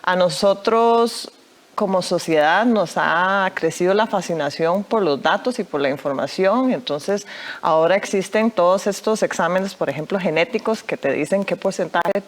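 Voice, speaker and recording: A woman's voice: lowish voice, normal speed. A young Costa Rican woman, a guest artist in a TV news studio interview, speaking thoughtfully with many fillers and restarts. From Costa Rica.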